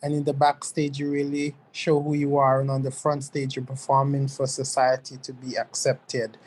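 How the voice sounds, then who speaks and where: Antiguan male panelist, soft unbroken-sounding voice, monotone, measured. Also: mid-range voice, poor audio. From Antigua and Barbuda. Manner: measured, thoughtful, with frequent ums. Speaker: webinar panelist. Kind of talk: panel discussion on a video call. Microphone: video call.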